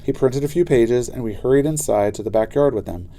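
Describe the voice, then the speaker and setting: A man narrating a children's story aloud in an expressive, dramatic way. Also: medium pitch, a little fast. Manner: animated, dramatic delivery.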